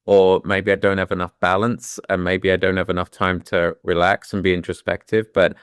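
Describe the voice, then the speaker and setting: Deep-voiced middle-aged British man. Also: level tone, moderate pace, clear, resonant tone, no room sound, clean studio sound. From London, England. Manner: thoughtful, with frequent restarts and "you know"s. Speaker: guest. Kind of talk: candid reflective conversation.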